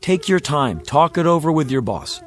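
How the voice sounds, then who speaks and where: Radio drama role: pushy, slangy American male car dealer, higher voice, emphatic and insistent. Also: big pitch swings, moderate pace, fairly bright tone, soft background music. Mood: earnest, persuasive. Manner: slangy, emphatic, salesman patter. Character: folksy, fast-talking American used car salesman, agitated and insistent.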